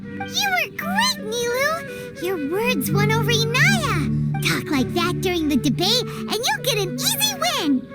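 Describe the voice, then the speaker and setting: A woman voicing a small, chirpy, earnest fairy-like girl companion with a squeaky childlike voice in an anime game. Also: very animated, measured pace, loud music behind the voice, heavily acted. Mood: upbeat encouragement. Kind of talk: anime game dub acting.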